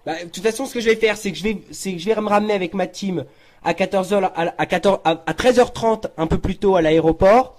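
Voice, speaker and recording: Young guy on a prank call, high voice, acting all serious, kinda hesitant but pushy. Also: fast, a little mellow. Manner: feigned seriousness, hesitant and insistent. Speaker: prank caller. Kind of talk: improvised prank phone call.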